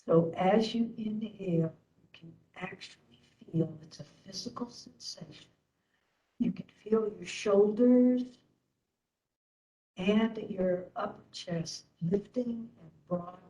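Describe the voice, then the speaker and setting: Slow, mellow woman guiding meditation. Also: mid-range voice, rough recording. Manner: gentle, unhurried with long pauses. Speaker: meditation and yoga instructor. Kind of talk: guided meditation.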